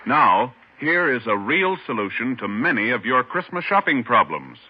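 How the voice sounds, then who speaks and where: A man's voice: medium pitch, big pitch swings, normal speed, breathy edge. A man announcing a 1950s radio show and its commercial in an emphatic, formal style. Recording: muffled old radio recording.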